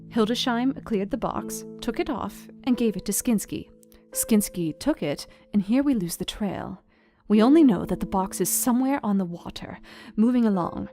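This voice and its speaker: An actress plays a young Victorian wife in a gothic audio drama, narrating from her journal. She is composed and intelligent, laying out each point with measured, formal precision. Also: mid-range voice, natural voice.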